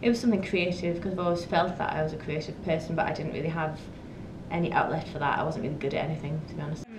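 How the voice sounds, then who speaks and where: A woman's voice: low voice, fairly even intonation, racing. A woman, a performer, giving reflective answers in an interview. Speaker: burlesque performer. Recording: echoey room, faint noise.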